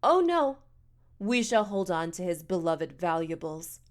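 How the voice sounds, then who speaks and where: A woman's voice: fairly low pitch, big pitch swings, unhurried. A woman acts out a haughty foster mother from a storybook. She scolds in pompous, stilted, formal phrases and sounds thoroughly self-righteous. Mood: spiteful. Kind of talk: audiobook character dialogue.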